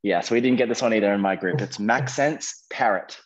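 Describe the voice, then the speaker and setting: A man's voice: lowish voice, fairly quick. A man hosting a quiz, reading questions out to contestants and responding to their answers in an encouraging way. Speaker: quiz host. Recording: dull lo-fi online call audio.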